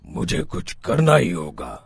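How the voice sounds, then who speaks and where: A man's voice: mid-range voice, wildly swooping pitch, measured pace, creaky, no room sound, full character voice. A man voicing a proud, anxious village landlord and father in a cartoon story, speaking with urgency. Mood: worried, determined.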